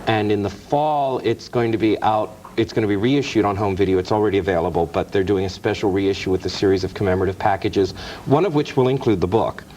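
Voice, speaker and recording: A man's voice: fairly low pitch, fast talker, few pauses, a little mellow, lo-fi sound, slight background noise. A man, a guest author, explaining film history in detail in an interview, with small restarts. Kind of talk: interview talk. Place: TV studio.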